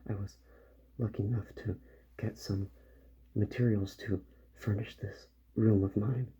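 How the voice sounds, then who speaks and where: A man doing a soft-spoken ASMR roleplay as a repair doctor, with gentle, slow, partly whispered delivery. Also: lowish voice, fairly even intonation, slightly rough, dull audio. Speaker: ASMR performer playing a robot repair doctor.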